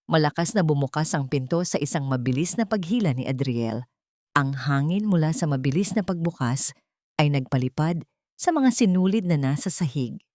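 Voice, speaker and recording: A woman's voice: low-pitched, lively intonation, normal speed, darkish tone, no room sound, clean studio sound. A woman with a Filipino accent reading a dramatic scripted story as an audiobook narrator.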